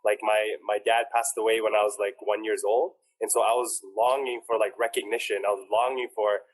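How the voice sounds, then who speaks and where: A man's voice: lowish voice, level tone, brisk, poor audio. A young man, a personal trainer, telling a group why he does his work, earnestly and with lots of "like" fillers. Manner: earnest, filler-heavy, frequent "like". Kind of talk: heartfelt personal talk to a group.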